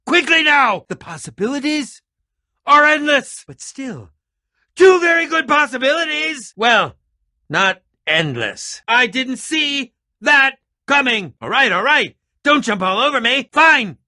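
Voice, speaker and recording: An actor playing an eccentric, excitable adventurer and potion maker in a video game, with fussy, theatrical delivery and dramatic emphasis. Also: male voice, extremely high pitch, a little slow, dry recording, studio quality, exaggerated voice. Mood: excited. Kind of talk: animated video game character acting.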